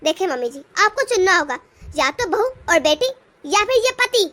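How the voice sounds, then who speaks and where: A woman's voice: very high voice, very animated, normal speed, a bit bright, heavily acted. A woman voicing a bold, defiant young daughter-in-law in a cartoon family drama. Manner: defiant, scolding.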